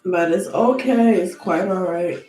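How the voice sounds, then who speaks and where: A woman with a lowish voice gives a spiritual tarot reading, speaking slowly and deliberately. She sniffles now and then from allergies. Her voice rings in an echoey room, with faint music underneath. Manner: slow, soft and deliberate, with an invocation-like cadence. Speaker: tarot card reader.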